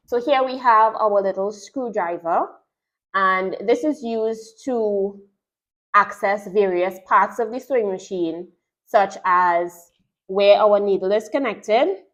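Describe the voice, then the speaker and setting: A woman's voice: mid-range voice, fairly bright tone, poor audio. A woman with a Trinidadian accent, a sewing teacher chatting through an unboxing and explaining each part step by step. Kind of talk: product unboxing and tutorial.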